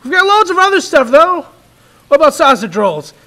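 A man's voice: very high voice, very animated, normal speed, sharp tone, pitched above the performer's own voice, full character voice. Man acting a strained, exasperated father. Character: harried, exasperated single father, forgetful and worn down.

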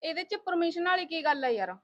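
A woman's voice: extremely high pitch, expressive, quick pace, dull audio. A woman acting a lonely, lovestruck mistress of a household in a short film, with a Punjabi accent. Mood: defensive.

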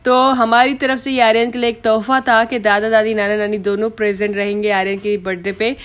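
A woman's voice: mid-range voice, little pitch movement, a little fast. A woman in her thirties from Pakistan, chatting to viewers in a casual family vlog. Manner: chatty, addresses viewers as guys. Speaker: vlogger. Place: busy restaurant and party hall, indoors. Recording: loud crowd chatter behind.

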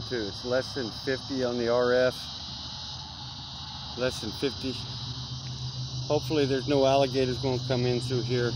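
A man, rambling folksy vlog talk outdoors at dusk, recorded on a close-held phone. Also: mid-range voice. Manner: rambling, folksy, trails off with fillers. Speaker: vlogger. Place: outdoors in dense brush at dusk. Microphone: phone camera held close. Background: crickets, insects and distant traffic.

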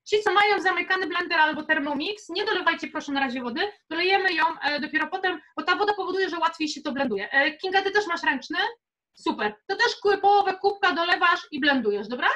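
High, bright young woman teaching cooking. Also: expressive, quick pace. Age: young adult. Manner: informal, encouraging, chatty. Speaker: cooking instructor. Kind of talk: casual live cooking workshop. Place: home kitchen, indoors.

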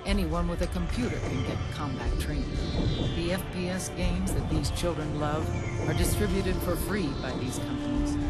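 An older woman playing a commanding, world-weary resistance leader in a video game cutscene, with a deliberate, authoritative delivery. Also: low-pitched. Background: loud music.

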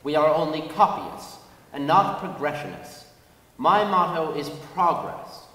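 A man's voice: fairly high pitch, a little slow, a bit bright. A man giving a dramatic reading of a formal address on the stage of a large hall. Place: large concert hall stage before an audience. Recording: distant, echoey hall, faint noise.

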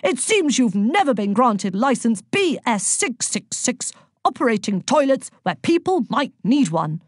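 A huge, officious female inspector from a comic storybook, acted out by a woman narrator. She barks and booms in a raised, indignant voice, recorded close and dry. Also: very animated, moderate pace, breathy edge, dry recording, exaggerated voice. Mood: stern, barking. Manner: booming, barking, indignant.

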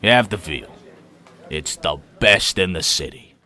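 A man's voice: deep voice, lively intonation, unhurried, sharp tone, full character voice. A man playing a menacing mob boss with a falsely friendly manner and an explosive temper, in a comic crime drama.

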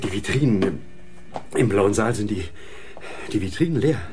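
Very low-voiced, shaken employee. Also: female voice, expressive, normal speed, a little mellow, soft background music. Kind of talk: German radio crime drama acting.

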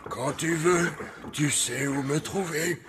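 A man's voice: on the high side, takes it slowly, noisy background. A man voicing a lonely, gloomy old farm machine in a children's cartoon, with a mournful, sighing delivery. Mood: glum.

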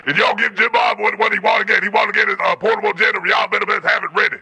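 A man's voice: high-pitched, flat delivery, very fast, creaky, sharp tone, husky, full character voice. A man voicing a rowdy, drunk Southern junkyard man who hurls blustering insults with a Georgia accent over a phone. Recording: tinny phone line.

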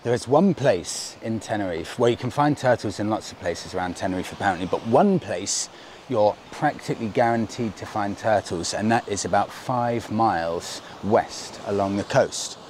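An enthusiastic man in his thirties talks casually to camera, his voice sitting fairly low. He wears a clip-on lapel mic, and clear outdoor street noise runs behind him. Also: lively intonation, moderate pace, a little mellow. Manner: enthusiastic, upbeat, chatty. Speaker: travel vlogger. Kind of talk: casual travel vlog to camera. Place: outdoors on a seaside promenade and in a cafe.

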